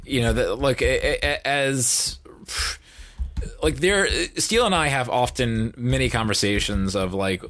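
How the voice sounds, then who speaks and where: A man speaking as an audio drama producer in a casual podcast discussion, often restarting his phrases in a halting way. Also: mid-range voice, a bit bright, dry recording.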